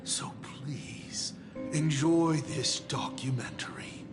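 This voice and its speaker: A man's voice: medium pitch, creaky, exaggerated voice. A man playing a sardonic, grandiose showman villain in a video game, speaking with pompous, mocking drama over music.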